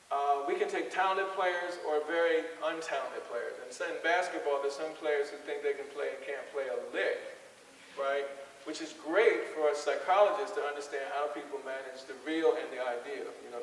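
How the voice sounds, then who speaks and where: A man giving a public talk as a psychologist and answering audience questions in a thoughtful, conversational manner. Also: a little high. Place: large echoey hall at a school event. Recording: echoey hall from far off, noisy hiss.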